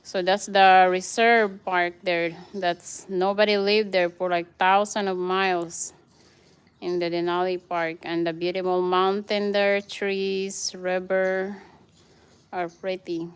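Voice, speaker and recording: Woman, Filipino accent, unhurried pace, clear smooth tone, casual chatty vlog talk. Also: on the low side, flat delivery. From Philippines. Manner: slow, chatty, greets viewers by name. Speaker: vlogger, mother. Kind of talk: casual live-stream house tour.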